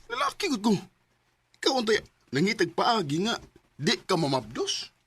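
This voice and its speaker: An actor playing a callous, hard-drinking, quick-tempered husband in a Visayan radio drama, sneering and blustering in a Cebuano Bisaya accent. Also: male voice, high voice, wildly swooping pitch, fairly bright tone, breathy edge, heavily acted. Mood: dismissive, scornful.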